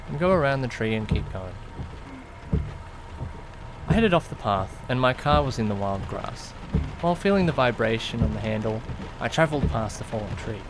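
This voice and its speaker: A man acts out an awkward young driver telling his own story in an audio drama. His delivery is conversational and musing, easily flustered, with pitch that moves around a lot. Rain and vehicle noise run clearly behind him. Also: close to an everyday voice. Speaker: narrator and lead character. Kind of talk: first-person audio drama narration with acted dialogue. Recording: noisy, rain and car rumble behind. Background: rain and vehicle sounds.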